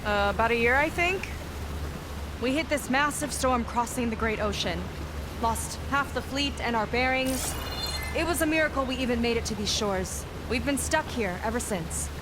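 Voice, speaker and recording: An actress voicing a confident young woman boat captain who talks in a calm, assured way and takes charge. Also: a little high, normal speed, noisy background, lightly acted. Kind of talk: video game cutscene acting. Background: game score music.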